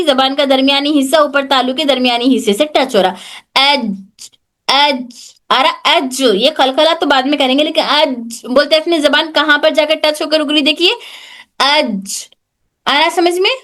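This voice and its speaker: Woman teacher, high-pitched, patient, checks in often. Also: moderate pace, a bit bright. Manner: patient, repeats points and checks if students follow. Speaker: Tajweed teacher. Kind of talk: online class lesson.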